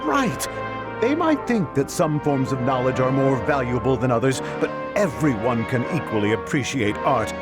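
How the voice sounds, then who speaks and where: A man's voice: mid-range voice, big pitch swings, moderate pace, over loud music, natural voice. A man playing an outspoken, loyal, indignant young man in an English anime game dub, with an emphatic manner. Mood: indignant, confident.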